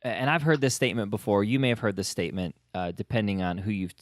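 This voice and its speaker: A man's voice: fairly low pitch, fast talker, no room sound. Male podcast host, dry close sound. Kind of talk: conversational interview.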